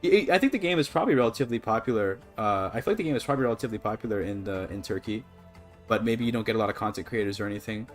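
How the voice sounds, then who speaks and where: A man's voice: lowish voice, racing, faint music behind. A Canadian man chatting casually to his livestream audience, rambling and apologetic as he goes. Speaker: live streamer. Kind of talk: casual gaming livestream chat.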